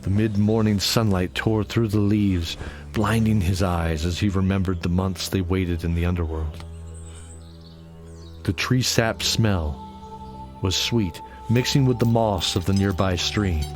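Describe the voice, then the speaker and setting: A man narrating a scripted audio drama as storyteller, in a deliberate, dramatic manner. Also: deep voice, steady tone. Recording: music behind the voice.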